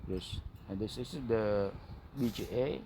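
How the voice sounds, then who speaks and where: A man with a non-native English accent narrating a hands-on repair tutorial as a technician, speaking in short, broken, halting phrases. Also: lowish voice, mellow, slight background noise. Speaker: phone repair technician and instructor.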